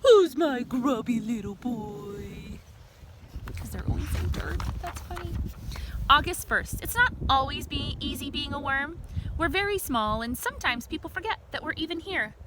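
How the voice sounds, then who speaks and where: A woman reads a picture book to children in a fairly high, very animated voice. She slips between expressive storytelling and chatty teacherly asides, posing questions to young listeners. She is outdoors, and there is clear background noise around her voice. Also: normal speed. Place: outdoors in a communal space by a river. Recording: noisy outdoor recording.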